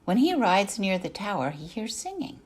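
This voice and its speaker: A woman reading a literary fairy tale for adults as narrator, with deliberate, dramatic phrasing that trails off softly at phrase ends. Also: mid-range voice, sing-song, slightly rough. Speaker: narrator, artist reading excerpts. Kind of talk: scripted storybook narration for adults.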